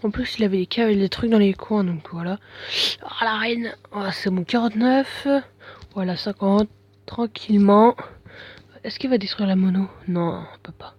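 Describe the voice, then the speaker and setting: A man's voice: high-pitched, normal speed, darkish tone, dry recording, dull audio. A man with a bad head cold giving casual, mumbling commentary over gameplay, full of slang and fillers.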